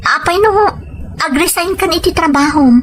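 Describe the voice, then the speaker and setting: Casting: a woman to play a determined, earnest wife and mother, higher-pitched, persuasive, story drama over soft music. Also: normal speed. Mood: pleading, insistent. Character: determined wife and mother set on working abroad. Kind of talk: dramatized first-person storytelling with dialogue.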